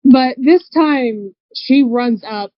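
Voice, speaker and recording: A woman's voice: high voice, takes it slowly, dry recording, lo-fi sound. A woman playing a tabletop game, casually describing what her character does.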